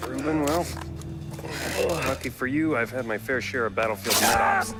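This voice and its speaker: An actor playing a brash, take-charge battlefield medic in a video game. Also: male voice, medium pitch, moderate pace, loud music behind the voice, natural voice. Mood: urgent, reassuring. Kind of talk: dramatic video game acting.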